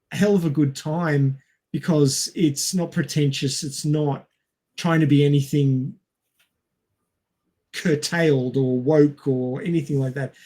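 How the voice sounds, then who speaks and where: A man co-hosting a casual film discussion, speaking in a halting, hesitant way and stretching out words. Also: on the high side, darkish tone. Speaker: podcast co-host.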